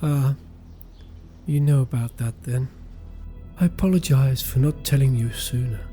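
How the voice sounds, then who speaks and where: A man's voice: medium pitch, a little slow, dark tone, soft background music, natural voice. A man playing a defensive, grumbling village vicar in an audio drama.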